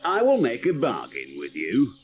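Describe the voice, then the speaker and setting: A woman voicing a sly male butcher who offers a bargain in a low, slow, coaxing voice. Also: wildly swooping pitch, faint music behind, full character voice. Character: sly male butcher trading magic beans.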